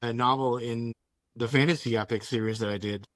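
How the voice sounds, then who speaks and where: A man from Denmark whose first language is Danish talks in a casual, conversational way as an interviewed author. His voice sits at an average pitch, and the sound is very dry, with little room on it. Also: steady tone, brisk, a bit bright, no room sound.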